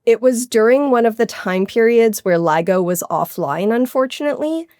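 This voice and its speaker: A woman's voice: fairly high pitch, animated, moderate pace, darkish tone, no echo, studio quality. A woman scientist explaining her research informally and with enthusiasm in a conversational interview. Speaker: guest scientist, astrophysics researcher.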